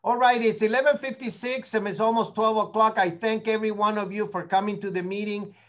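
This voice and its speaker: A man's voice: high-pitched, steady tone, fairly quick, a bit bright, muffled recording. An older man, not a native English speaker, running a real estate training meeting in an opinionated, rambling way, repeating words as he goes. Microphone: meeting recording.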